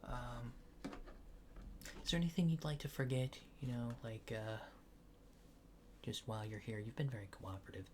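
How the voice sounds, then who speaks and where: A man doing a soft-spoken ASMR roleplay, hushed and often near a whisper, with hesitant, polite, clinical phrasing. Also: medium pitch, fairly even intonation, normal speed, pauses often, slight background noise. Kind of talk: soft-spoken ASMR roleplay as an alien abductor examining a subject.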